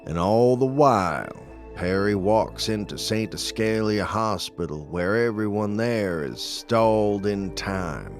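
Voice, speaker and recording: An actor playing a dead man who calmly narrates an audio drama with slow, measured, deliberate delivery. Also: fairly low pitch, soft background music. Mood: measured, somber. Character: dead man narrating, calm and all-seeing.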